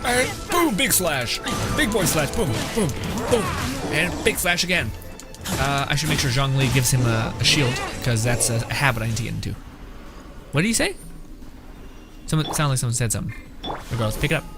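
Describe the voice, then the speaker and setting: A man's voice: mid-range voice, animated, normal speed, long pauses. Sarcastic young man streaming a game. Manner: rambling, sarcastic, profane. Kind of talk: casual joking gameplay commentary. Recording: game music behind voice.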